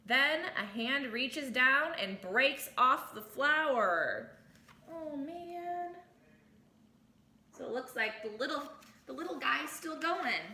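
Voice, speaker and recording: A woman reading a storybook aloud to children in an expressive teacher's way, adding little asides, in an echoey room. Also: high voice, slight background noise. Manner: animated, exclaims and comments on the story.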